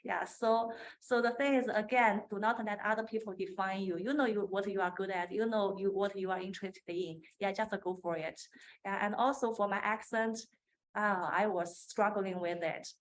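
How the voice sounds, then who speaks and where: A woman's voice: medium pitch, steady tone, normal speed, a little hiss or hum, rough recording. A woman with a Chinese accent, speaking Chinese natively, giving earnest career advice on a panel and laughing often. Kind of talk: panel discussion, personal advice. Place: conference room.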